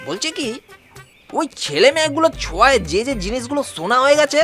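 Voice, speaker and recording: Guy doing a high pitched sneaky sidekick, eager n wheedling, kids cartoon, faint music. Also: very animated, quick pace, fairly bright tone, full character voice. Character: scheming, jumpy male cartoon sidekick. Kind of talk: children's cartoon voice acting.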